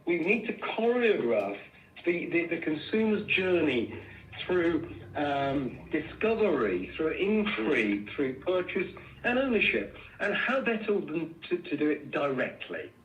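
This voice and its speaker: A man's voice: fairly high pitch, sing-song, measured pace, slight background noise. Echoey, distant man on an earnings call. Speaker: company executive. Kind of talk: answering questions on an earnings call. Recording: muffled, echoey room, distant microphone.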